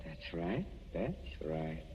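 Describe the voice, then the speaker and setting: An actor playing a menacing, mock-tender jealous husband in a 1940s radio suspense drama, with sardonic endearments and halting pauses. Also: male voice, low voice, sing-song, heavily acted. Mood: coolly sinister. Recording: dull, noisy, echoey 1940s radio recording.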